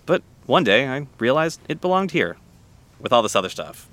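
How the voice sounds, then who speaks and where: Bright-voiced, lively cafe owner. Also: male voice, medium pitch, moderate pace. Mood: relaxed, chatty. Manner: casual, conversational. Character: chatty, easygoing male cafe owner with collectibles. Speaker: voice actor. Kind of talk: naturalistic audio drama acting.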